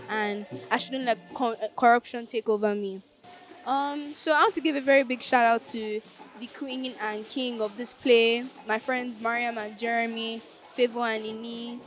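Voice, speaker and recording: High-voiced young Nigerian woman. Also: a bit bright. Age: teenager or young adult. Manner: conversational, frequent "like". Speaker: student dancer in a school play. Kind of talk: informal interview testimonial. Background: music.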